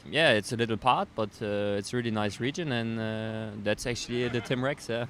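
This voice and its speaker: A young man from East Belgium with a German accent, a pro cyclist speaking haltingly in a casual interview. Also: mid-range voice, fairly bright tone, slight background noise. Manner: hesitant, many fillers and restarts.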